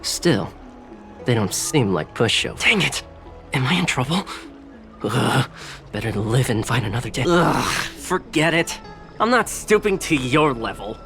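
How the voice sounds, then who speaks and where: A man's voice: medium pitch, very animated, a little slow, gravelly, fairly bright tone, heavily acted. Shifty young male game informant, mocking and theatrical, greedy coward, music underneath. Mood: rattled, grudging. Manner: scoffing, theatrical. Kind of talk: video game character acting.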